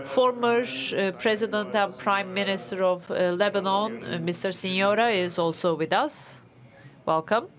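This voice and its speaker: A woman working as a simultaneous interpreter, rendering a formal speech into English with a measured delivery. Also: medium pitch, a crowd in the background.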